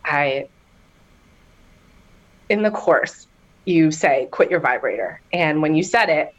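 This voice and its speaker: Low-pitched woman guest, candid and animated storyteller. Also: takes it slowly, highs cut off. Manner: animated storytelling, laughing, bursts of high excitement. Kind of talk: candid personal testimony in a podcast interview.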